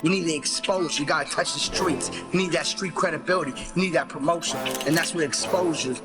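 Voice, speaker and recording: Punchy male shout-out over loud hip hop. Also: on the high side, fast, loud music behind the voice. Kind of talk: promotional shout-out.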